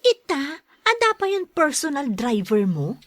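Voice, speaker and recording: Woman acting gushing female friend, Ilocano accent, highish lively voice, teasing, podcast drama. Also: unhurried, no room sound, studio quality, heavily acted. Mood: admiring, envious. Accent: Ilocano Filipino. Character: admiring, envious female friend, chatty and teasing.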